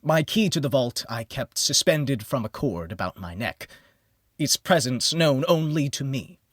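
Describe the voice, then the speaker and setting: A man narrating a gothic horror audiobook in a theatrical manner that moves between hushed near-whispers and heightened exclamation. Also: mid-range voice, lively intonation, a little slow, no echo, clean studio sound. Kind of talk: dramatic scripted horror narration.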